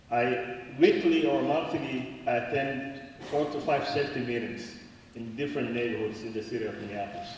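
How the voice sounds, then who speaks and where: A man from the park board speaking earnestly at a community meeting, halting with many fillers. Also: mid-range voice. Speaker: park board staff member. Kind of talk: public remarks at a community meeting. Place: large meeting hall. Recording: echoey hall, far from the mic.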